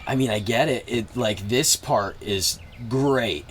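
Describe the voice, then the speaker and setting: A man with an average-pitched voice chats casually as a podcast host. His delivery is informal and agreeable. There is clear noise in the background of the recording. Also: slow. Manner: agreeable, frequent short interjections. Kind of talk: casual chat.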